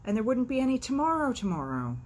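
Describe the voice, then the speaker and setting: A woman's voice: a little high, very animated, fast, a little mellow, pitched above the performer's own voice. A woman voicing submissive storybook farm animals who speak in an earnest, cautionary manner. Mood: grave warning. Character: cautious, submissive barnyard animals warning a newcomer.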